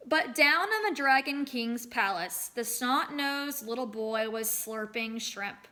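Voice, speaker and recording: A woman teacher reads and explains a story for children. Her voice is bright and a little high, and she phrases things expressively, with deliberate emphasis. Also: lively intonation. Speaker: teacher reading aloud to children. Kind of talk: expressive storybook read-aloud with lesson questions.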